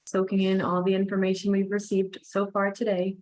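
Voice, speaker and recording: A woman leading a guided relaxation and breathing exercise, giving calm, unhurried instructions. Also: medium pitch, low-quality recording.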